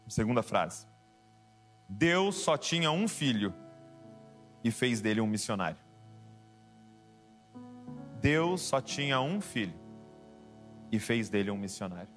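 A man's voice: mid-range voice, fairly bright tone. A man preaching a sermon to a congregation, emphatic and rising to passionate exhortation. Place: church with keyboard behind.

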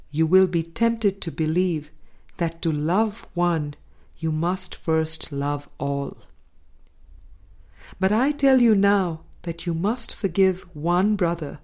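A woman reading the words of God as a calm, loving, wise teacher in a parable, solemn and tender. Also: lowish voice.

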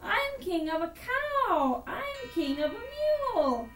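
A woman's voice: very high-pitched, very animated, measured pace, a little hiss or hum, full character voice. A woman voicing a boastful, imperious male turtle king who bellows grandly in a rhymed storybook. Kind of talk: rhymed storybook read-aloud. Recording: roomy echo, distant, loud music behind. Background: loud music.

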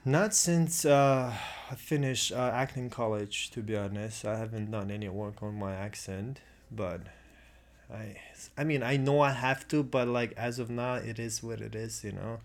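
A man's voice: medium pitch, normal speed. A young man with a Russian accent, a native Russian speaker from Russia, talking casually as an actor and guest, agreeing often and adding "man" to his lines. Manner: agreeable, lots of "yeah" and "man". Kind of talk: casual conversation.

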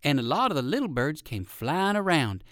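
A man narrating a children's storybook in an expressive way, with chatty asides to young listeners. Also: fairly high pitch, normal speed, no echo, studio quality. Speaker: audiobook narrator.

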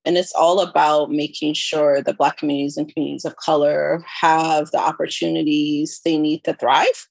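A woman's voice: deep voice, level tone, normal speed, vocal fry, hardly any pauses, a little mellow, no echo. A woman from Ethiopia who moved to the US as a child, answering podcast interview questions in a reflective, explanatory, conversational way. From born in Ethiopia, moved to the US at about eleven. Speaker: podcast guest, consulting firm chief executive.

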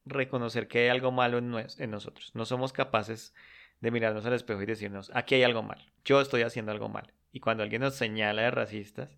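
A man's voice: mid-range voice, steady tone, quick pace, vocal fry, a bit bright. A man co-hosting a podcast in casual chat, talking in a reflective way and dropping short agreements while his co-host speaks.

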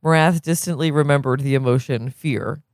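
A man's voice: on the high side, steady tone, moderate pace, no room sound, studio quality. A man reading a literary novel aloud as narrator. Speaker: reader, co-host.

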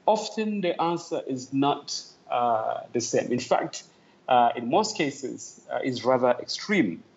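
A man from Tanzania, a guest calling in remotely to a podcast, answering thoughtfully with many "uh" pauses. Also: medium pitch, expressive, gravelly, muffled recording. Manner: thoughtful, many "uh" fillers, earnest. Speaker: podcast guest, talent development executive. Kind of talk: conversational interview answer.

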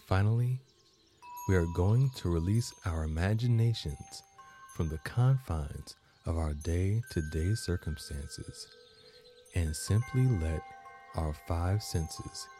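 Male meditation host, low register, unhurried and deliberate delivery with long pauses, music underneath. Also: lively intonation, warm tone. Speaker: guided meditation podcast host. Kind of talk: guided meditation narration.

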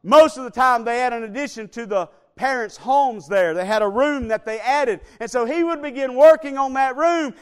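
A man preaching a sermon, swinging between loud build-ups and quiet, low appeals to the congregation. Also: moderate pace, few pauses, clean tone.

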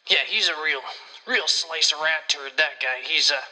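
A man's voice: on the high side, normal speed, bright, forceful tone. A man playing a self-important small-town comedy promoter, rambling hesitantly and pompously through a voicemail-style message with petty threats. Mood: smug, veiled threat. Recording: phone call with faint music.

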